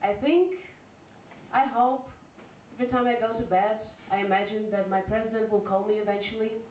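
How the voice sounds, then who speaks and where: A woman, performing a deadpan stand-up set full of fillers and restarts, recorded live at a venue. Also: medium pitch, moderate pace, a little hiss or hum. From Russia. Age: 20s. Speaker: stand-up comedian. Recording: echoey lo-fi room recording from far off.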